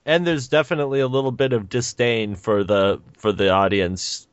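A man's voice: mid-range voice, fairly even intonation, moderate pace, clear, resonant tone, no room sound. A man making wry comments as a guest on a casual podcast. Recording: dull lo-fi dry audio.

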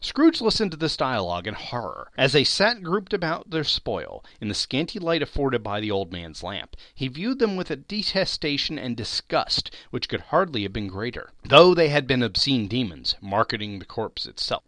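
A man's voice: medium pitch, sing-song, normal speed, hardly any pauses, a bit bright, no echo, clean studio sound. A man narrating a classic story as a dramatic reading, theatrical and emphatic. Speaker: podcast host, narrator.

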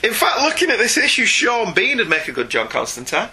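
Bright, forceful British man reviewing. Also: high voice, huge pitch swings, a little fast. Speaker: podcast co-host. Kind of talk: conversational comic book review.

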